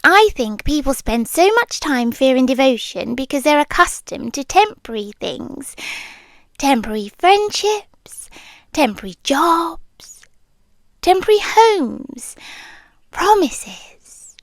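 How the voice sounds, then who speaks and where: A British woman playing a centuries-old, possessively devoted monster girlfriend in an intimate ASMR roleplay, speaking softly and often slipping into breathy whispers. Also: sits high, sing-song, slow pace, no room sound, heavily acted. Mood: earnest devotion.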